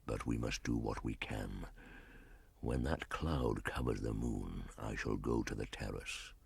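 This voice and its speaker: Guy doing a very deep quiet panther for an audiobook, grave and gravelly. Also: no room sound, heavily acted. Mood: grave, urgent. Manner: low, quiet, measured.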